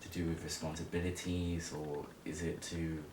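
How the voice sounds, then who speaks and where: A man in his early twenties from Zimbabwe speaks in a low, fairly monotone voice. He tells his story informally, pausing and feeling for words as he goes. The recording is echoey and distant, with rumbling noise behind him. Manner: hesitant, halting, informal. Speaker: interview guest. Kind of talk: casual personal storytelling.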